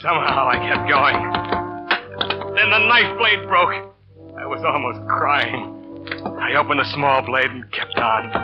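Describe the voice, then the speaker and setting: A vengeful, desperate schemer telling his story in hard-boiled 1940s radio suspense style. His voice sits fairly high for a man, and music runs under the dull old recording. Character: scheming, vengeful male lover in a murder plot, bitter and desperate. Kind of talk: 1940s radio suspense acting, first-person narration.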